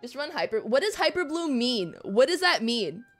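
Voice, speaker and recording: A woman streamer chatting casually and playfully about a video game, expressive and prone to giggling. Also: high voice, moderate pace. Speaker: streamer, host. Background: music.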